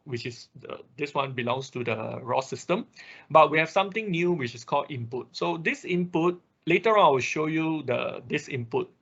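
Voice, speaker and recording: A man with a slightly high voice teaches an online technical class. He is a non-native English speaker and explains each step in turn, punctuating with small check-in words. The audio is dull and lo-fi. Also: fairly bright tone. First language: not English. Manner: step-by-step explaining, often checking with "okay" and "right". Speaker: instructor of an online robotics programming class. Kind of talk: live tutorial with screen demonstration.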